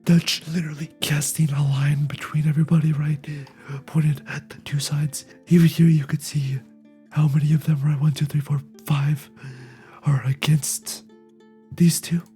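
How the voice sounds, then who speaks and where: A host with a raspy, quiet, fragile voice, talking through a game scene in a soft near-whisper with frequent "right?" asides. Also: mid-range voice, little pitch movement, normal speed, soft background music. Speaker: video essay host reacting to a game scene. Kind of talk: casual spoken analysis.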